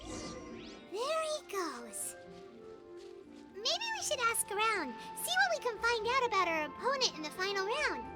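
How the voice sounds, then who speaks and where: Tiny fairy companion for a game: extremely high, animated, eager and childlike, over loud music. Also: female voice, unhurried, long pauses, full character voice. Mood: chatty. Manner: speaks of self in third person. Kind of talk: video game character acting.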